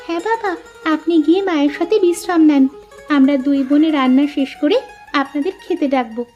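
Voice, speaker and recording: A woman acting as a sweet, naive young village woman in a cartoon. Her girlish voice is very high and politely dutiful, with light music underneath. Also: brisk, darkish tone, highs cut off, natural voice. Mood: obliging. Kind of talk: cartoon story dialogue.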